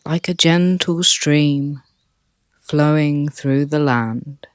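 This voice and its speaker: A meditation guide speaking calmly and softly, leading a relaxation session with long pauses. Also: mid-range voice, clean tone, dry recording. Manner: calm, soft, with long pauses.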